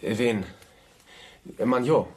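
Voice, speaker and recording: An actor playing an earnest, uneasy young architect in a radio play, speaking in a hesitant, guarded way. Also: male voice, medium pitch, takes it slowly, a little mellow, lightly acted. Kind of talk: German radio drama dialogue.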